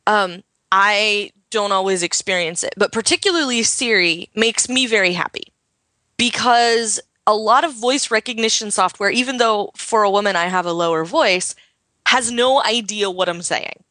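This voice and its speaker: A woman's voice: mid-range voice, normal speed, sharp tone, dry recording. An American woman co-hosting a casual tech podcast, explaining her views at length. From United States. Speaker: podcast co-host.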